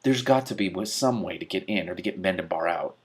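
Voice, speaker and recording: A man's voice: sits low, a little fast, breathy edge. A man voicing a determined, stubborn young woman in a storybook, speaking insistently.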